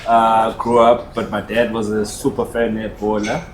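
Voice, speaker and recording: Man, South African accent, flat medium-pitched delivery, casual guest storytelling, some background noise. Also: normal speed. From rural KwaZulu-Natal, South Africa. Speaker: podcast guest. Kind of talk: casual personal storytelling, mixing two languages. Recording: echoey room with noise.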